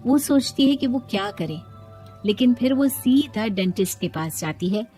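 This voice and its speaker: A woman tells a cartoon tale with a slightly high voice and a lively, teasing delivery. Light music plays under her narration throughout. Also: moderate pace. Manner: lively, teasing, switches between narration and character lines. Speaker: narrator and voice of the daughter-in-law. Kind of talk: storybook cartoon narration with character dialogue.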